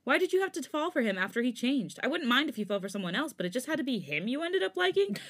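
A woman voicing a furious young man who shouts and swears in a read-aloud story. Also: fairly quick, lightly acted. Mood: angry shouting. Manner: yelling, pitch raised. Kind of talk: fan fiction read aloud.

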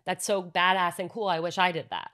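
A woman's voice: fairly low pitch, steady tone, fairly quick, a bit bright. A young woman co-hosting a podcast, talking in a reflective, reassuring way and building long points in casual chat. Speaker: podcast co-host.

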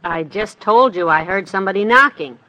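A woman playing a sensible, patient, motherly wife in 1950s radio comedy, speaking in a matter-of-fact, gently firm way. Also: medium pitch, animated, moderate pace, dull audio, close to an everyday voice. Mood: puzzled, insistent.

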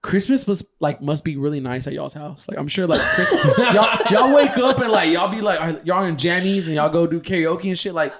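A man co-hosting a podcast, chatting in an animated, slangy way and laughing often. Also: sits high, fairly quick, few pauses, a loud crowd. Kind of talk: casual chat.

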